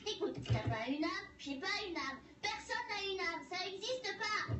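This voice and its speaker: A woman's voice: very high-pitched, very animated, moderate pace, very noisy. A woman voicing a cocky, sceptical young schoolboy in a French-dubbed cartoon. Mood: scornful. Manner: brash, scornful. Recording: dull, distant, echoey cartoon playback under music.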